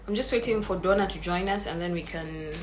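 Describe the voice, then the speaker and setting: A woman's voice: lowish voice, brisk, slight background noise. A woman with a Kenyan accent hosting a casual live stream, chatty and greeting viewers by name, a little congested from an oncoming flu. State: coming down with a flu, clearing her throat.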